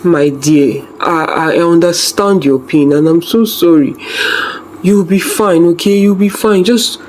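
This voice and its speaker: Loving folktale father, acted by a storyteller, reassuring and gentle, noisy background. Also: medium pitch, close to an everyday voice. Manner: soothing, repeating reassurances. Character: loving, gentle father comforting his grown daughter.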